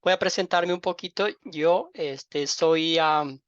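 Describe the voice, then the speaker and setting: Dull call audio, halting Ecuadorian man. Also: a little high, fairly bright tone, clear, resonant tone, dry recording. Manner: halting, repeats words, familial and fond of diminutives. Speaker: pastor teaching an online Bible class. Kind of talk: informal welcome and self-introduction to a class. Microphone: online group call microphone.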